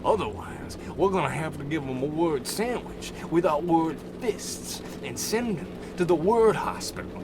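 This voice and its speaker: Guy doing a cocky wisecracking goon, highish voice, really swoopy delivery, radio comedy. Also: animated, normal speed, few pauses, background noise, lightly acted. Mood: excited, blustering. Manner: wisecracking, blustery, folksy tough-guy phrasing. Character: blustering, cocky male hired goon boss. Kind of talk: old-time radio comedy acting.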